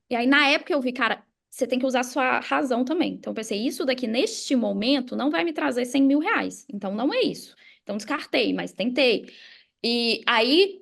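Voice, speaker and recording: A Brazilian woman with a very high voice that often breaks into vocal fry. She talks casually as a podcast guest, coaching with emphatic repetition and simple examples. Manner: emphatic, repeats key phrases, uses examples and acted quotes. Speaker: podcast guest, affiliate marketer and mindset coach. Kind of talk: casual motivational explaining on a podcast.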